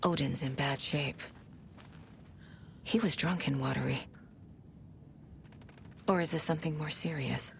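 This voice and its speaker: A composed, level-headed investigator in a video game. Her voice sits a little low, and she speaks in a measured, inquiring way. There is slight noise behind her. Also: creaky. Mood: pensive. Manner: measured, questioning, muttering to herself. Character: focused, level-headed adult female investigator.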